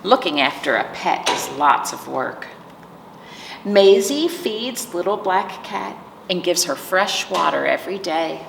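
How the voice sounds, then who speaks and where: Storytime lady reading to little kids, slow and really expressive, kinda far from the mic in an echoey room w faint noise. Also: lowish voice, gravelly. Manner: simple explanations and questions addressed to children. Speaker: library storytime host reading picture books. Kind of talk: animated read-aloud for young children.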